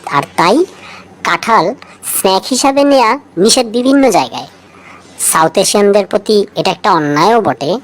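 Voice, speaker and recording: A woman's voice: medium pitch, lively intonation, normal speed, long pauses. Woman narrating light trivia in scripted style. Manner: addresses the listener directly with rhetorical questions. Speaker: co-narrator of a feature video.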